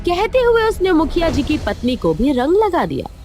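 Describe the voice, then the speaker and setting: A woman's voice: very high voice, wildly swooping pitch, moderate pace, darkish tone. A woman narrating a scripted cartoon moral story as a storyteller.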